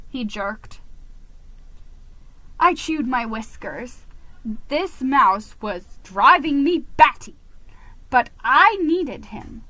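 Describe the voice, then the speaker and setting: A woman giving an expressive, dramatic storybook reading, sometimes stumbling and rereading a phrase. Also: sits high, no echo, rough recording. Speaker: amateur reader of a children's book.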